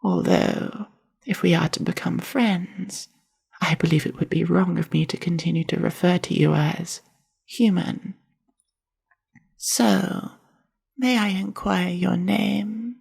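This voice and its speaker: A very breathy, husky young monster librarian, half spider and bookish, played by a woman, speaks softly in an ASMR roleplay. Her voice is heavy with creak and moves slowly, with formal, reserved and carefully measured wording. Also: on the low side, heavily acted. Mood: shyly hopeful. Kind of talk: ASMR roleplay, soft-spoken monologue to the listener.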